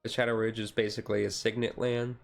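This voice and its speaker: A man streamer giving a casual, chill card-deck walkthrough, halting and self-correcting, his voice a little tired. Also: lowish voice, level tone, creaky, soft background music. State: voice tiring. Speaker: streamer, card game hobbyist.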